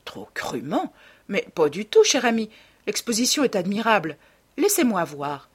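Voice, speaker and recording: An affable gentleman painter in a storybook read by a woman, speaking as a devoted friend. The manner is courteous and questioning, the voice a little husky and earnest. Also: mid-range voice, sing-song, normal speed, voice pushed up. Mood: eager.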